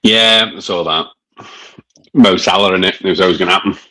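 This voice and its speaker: A man's voice: low-pitched, moderate pace, sharp tone. A man with a mild northern English accent, chatting casually while reviewing beer, with many fillers and hesitations. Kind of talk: casual chat.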